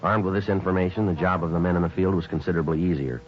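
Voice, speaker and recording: A man playing a terse, businesslike police detective and narrator in a 1950s radio drama, deadpan and matter-of-fact. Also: deep voice, very fast, lightly acted. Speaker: narrator and lead actor. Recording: dull old 1950s radio recording.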